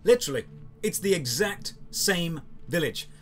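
A man's voice: on the high side, expressive, moderate pace, soft background music. A British man in his thirties narrating a scripted game review in a sardonic, critical manner. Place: small room lined with game shelves.

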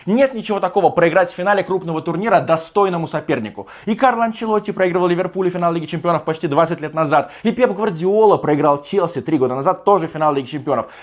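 A man in his thirties talks football from a desk in a small room, his voice sitting a bit high and sounding rough and worn. He argues emphatically and slips into sarcastic, mock-gushing asides. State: strained, partly lost voice. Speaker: football show host. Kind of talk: animated football commentary monologue. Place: small room with sofa, indoors.

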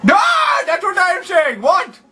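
High-pitched pushy foreign prank caller. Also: male voice, big pitch swings, a little slow, sharp tone, raised voice, full character voice. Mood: indignant, pushy. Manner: indignant, repetitive broken English without contractions. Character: pushy, absurd, crude foreign male phone caller. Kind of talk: improvised prank phone call.

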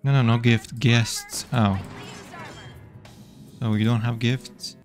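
A man's voice: medium pitch, level tone, slow pace, faint music behind. A man streaming a digital card game live, playfully chatting with his opponents and viewers.

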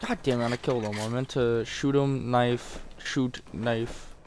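Game gunfire and noise sit behind a man's mid-pitched voice. He rambles casually as he plays, swearing often and putting himself down. His throat is a little sore. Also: fairly even intonation, a little slow. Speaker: gaming video host. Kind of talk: casual gameplay commentary. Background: zombie shooter gunfire and ambience.

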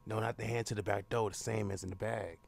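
A young man making an improvised prank phone call as the caller, rambling insistently and repeating himself in a gravelly voice. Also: on the low side, fairly even intonation, quick pace, slight background noise.

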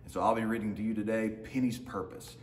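Low-voiced man reading a storybook, echoey room. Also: fairly even intonation, fast talker. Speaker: teacher reading aloud to students. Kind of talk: children's picture-book read-aloud. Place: large open indoor lounge with hard floor. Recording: roomy echo, faint background noise.

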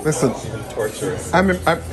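A man chatting casually and exclaiming over dishes at a busy restaurant brunch, with loud music and crowd noise behind. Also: takes it slowly. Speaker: brunch guest filming. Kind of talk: casual chat.

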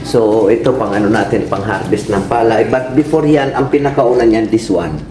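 A man's voice: fairly low pitch, normal speed, dark tone. A young man working at a restaurant, politely explaining its antiques on a guided tour and recorded on a nearby phone. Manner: polite, explanatory, mixing English into his talk. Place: old house restaurant, indoors. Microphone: vlogger's phone nearby. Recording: echoey noisy room.

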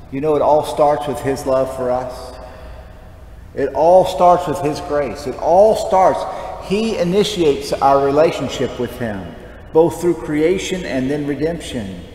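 A man's voice: medium pitch, darkish tone. Man preaching in an echoey church. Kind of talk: homily, conversational preaching. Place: large church, at the lectern. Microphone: headset mic. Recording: echoey church with long reverb, background noise.

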